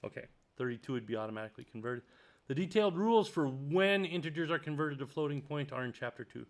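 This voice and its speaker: A man's voice: on the high side, animated, quick pace. A man teaching programming, reading passages from a textbook aloud and commenting as he works, with frequent ums and uhs. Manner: thinks out loud, many ums and uhs; reads passages then comments on them. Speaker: programming tutor. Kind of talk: screen-recorded coding tutorial, reading a textbook aloud.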